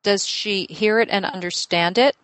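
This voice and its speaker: A chatty woman psychic giving a reading, her talk full of fillers and quick strings of questions. Her voice sits at a middle pitch. The sound is dry and close, with no room echo. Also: a bit bright. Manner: chatty, thinking aloud with many fillers; asks many quick questions in a row. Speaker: psychic medium. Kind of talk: live reading session with a client.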